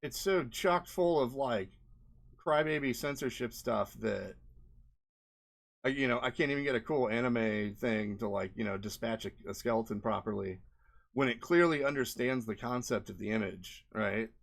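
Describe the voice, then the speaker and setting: A man's voice: medium pitch, normal speed, gravelly. A man giving casual, unscripted commentary as an indie developer presenting a video, rambling and often tagging on "like" and "right?", with an exasperated edge. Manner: rambling, frequent "like" and "right?" tags.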